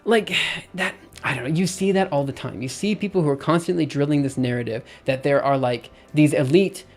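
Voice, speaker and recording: A man in his thirties talking casually on a live stream, thinking out loud with lots of fillers and restarts. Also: a little high, brisk. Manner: rambling, thinks aloud with many fillers and restarts.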